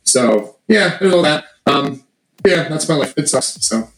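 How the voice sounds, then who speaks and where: Hoarse man with a cold, echoey. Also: on the high side, expressive. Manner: rambling, sweary, quick. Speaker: podcast co-host. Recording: echoey room with noise.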